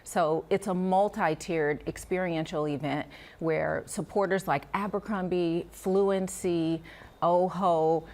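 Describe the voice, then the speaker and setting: Woman executive, TV guest, very low voice, composed and polished. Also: fairly even intonation, takes it slowly, a little mellow. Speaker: nonprofit executive director guest. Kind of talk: live TV interview.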